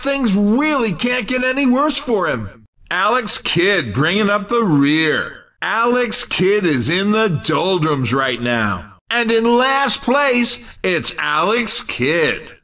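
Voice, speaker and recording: A man's voice: sits high, bright, forceful tone, exaggerated voice. A man playing a brash, playfully teasing video game race commentator, with punchy, theatrical announcer delivery and a slightly distant sound.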